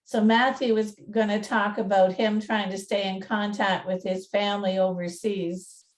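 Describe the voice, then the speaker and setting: A woman's voice: mid-range voice, level tone, normal speed. A woman co-presenting and narrating, moving between informal talk and storybook reading. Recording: roomy echo.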